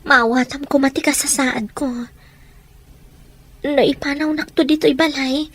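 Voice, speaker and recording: A woman's voice: high voice, fairly even intonation, moderate pace, lightly acted. A woman playing a devoted, dutiful young elder sister in an audio drama, tender and earnest. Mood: tender, torn. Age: young adult. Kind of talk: Ilocano audio drama acting.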